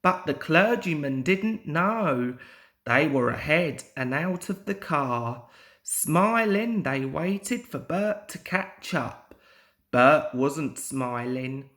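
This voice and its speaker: A young man reads a children's story aloud as an amateur storyteller. His pace is very slow and deliberate, and his expressive pitch moves about in a lively way. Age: young adult.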